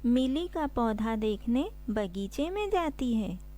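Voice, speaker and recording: A woman's voice: fairly high pitch, very animated, slow pace. A woman reading scripted narration for a children's cartoon story.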